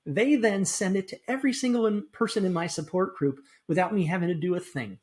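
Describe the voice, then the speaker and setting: A woman's voice: lowish voice, fairly quick, a little mellow. A woman reading a scripted first-person sponsor message for a podcast.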